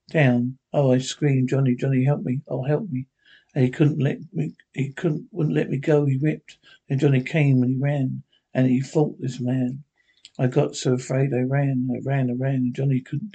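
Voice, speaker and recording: A man's voice: medium pitch, gravelly, dark tone, husky. A man voicing a terrified young woman who pleads and repeats herself frantically. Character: terrified young woman, grieving lost brother.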